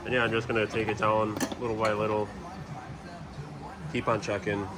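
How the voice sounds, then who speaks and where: A man with a very low voice talks through a hands-on repair in a loose, informal way, thinking aloud as he works. Clear background noise runs under his words. He sounds like a home mechanic narrating his own tinkering. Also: little pitch movement, normal speed. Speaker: DIY mechanic vlogger.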